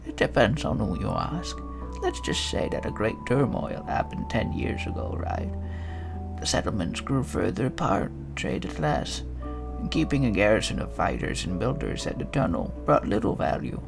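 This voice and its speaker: A man's voice: lowish voice, wildly swooping pitch, moderate pace, vocal fry, music in the background, full character voice. A man voicing an elderly, matter-of-fact village woman with folksy, rustic phrasing in a fantasy game reading. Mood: grave, measured. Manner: folksy phrasing with tag endings.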